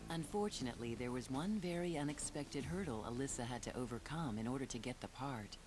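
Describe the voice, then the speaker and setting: A man narrates a TV biography documentary in a smooth, measured voice of middle pitch. Music plays clearly behind him. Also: poor audio.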